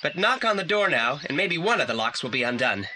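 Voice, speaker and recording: Actor playing a pompous, animated boy detective. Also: mid-range voice, a little fast, noisy background, close to an everyday voice. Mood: alert, deliberate. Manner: pompous, precise, formal wording. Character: brainy, self-assured boy detective, formal and commanding. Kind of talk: 1980s audio play acting.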